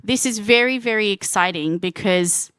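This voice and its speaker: A woman's voice: mid-range voice, normal speed, fairly bright tone, clean tone, no echo. A woman hosting a live panel at a convention, upbeat and enthusiastic as she introduces guests and asks questions. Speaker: panel host and moderator. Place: convention stage.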